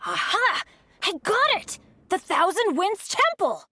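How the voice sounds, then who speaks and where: Excitable, earnest youth for a video game: high pitch, lively swings, heavy music underneath. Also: female voice, slow, exaggerated voice. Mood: excited. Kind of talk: English video game voice acting. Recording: very loud music behind voice.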